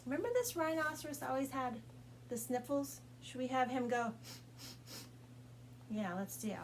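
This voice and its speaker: A woman's voice: sits high, expressive, normal speed, slight background noise. A woman leading storytime as a children's librarian, reading and singing along in a sing-song, coaxing way as if to small children, with questions for them.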